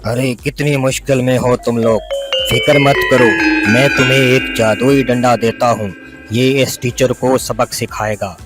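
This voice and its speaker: Village elder for a cartoon, played by a man: measured, authoritative, medium pitch, heavy music behind. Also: fairly even intonation, natural voice. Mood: reassuring. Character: men of a village tale: scheming rich father, forest holy man. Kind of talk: Hindi cartoon story voice acting. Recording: loud music over the voice.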